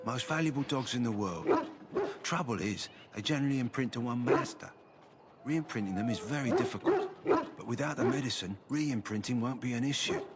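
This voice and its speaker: An actor playing a concerned, practical keeper of war hounds in a video game, explaining things earnestly and plainly. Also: male voice, medium pitch, sing-song, a little fast, lightly acted. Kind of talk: scripted video game character dialogue. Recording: very noisy game audio.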